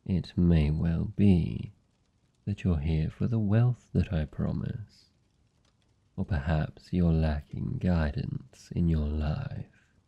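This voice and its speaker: A man playing a haughty, seductive vampire lord in a fantasy ASMR roleplay, with a British accent, a low gravelly husky voice and a deliberate, teasing, condescending delivery. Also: lo-fi sound, full character voice. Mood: musing. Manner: slow, deliberate, aristocratic, teasing.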